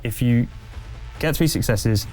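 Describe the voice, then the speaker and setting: A man runs a tabletop game as game master. He narrates and explains in a conversational, slightly halting manner at a medium pitch. Music plays under his voice. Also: low-quality recording. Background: background music.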